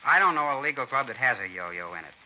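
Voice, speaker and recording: An actor playing an earnest, defensive young American hot rod club member in a 1950s radio drama, talking slangy and insistent. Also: male voice, mid-range voice, big pitch swings, fast, close to an everyday voice. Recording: dull 1950s radio recording, faint noise.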